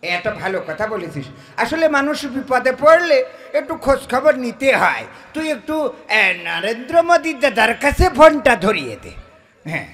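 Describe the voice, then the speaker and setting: A man's voice: extremely high pitch, very animated, normal speed, fairly bright tone, slightly rough. A man performing a comic skit in a colloquial, indignant, scolding manner. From Bangladesh. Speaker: comedian. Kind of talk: comic skit with mock phone call.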